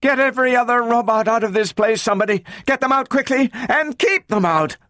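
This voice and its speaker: A man's voice: medium pitch, very animated, normal speed, dry recording, clean studio sound, close to an everyday voice. A man voicing a commanding, exacting woman scientist in an audiobook, with clipped, precise delivery. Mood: urgent, shouting. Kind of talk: dramatic audiobook character reading.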